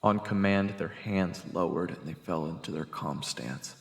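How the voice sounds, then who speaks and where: An echoing, reverberant low male voice, acted by a man, gravelly and grave, narrates a fantasy audio drama as a wary investigator. He delivers scripted storytelling over music, with long reverb trailing the words. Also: a little slow. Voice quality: deep, gravelly.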